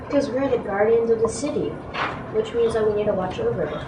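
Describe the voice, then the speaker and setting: A woman's voice: on the high side, fast. A female performer reading a part in an amateur readers theatre play. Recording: noisy echoey room, distant mic.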